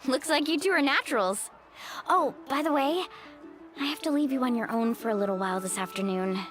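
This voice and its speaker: A woman's voice: high-pitched, brisk, over music, close to an everyday voice. An actress playing an upbeat, easygoing young woman who takes charge in an anime game, breezy and chatty.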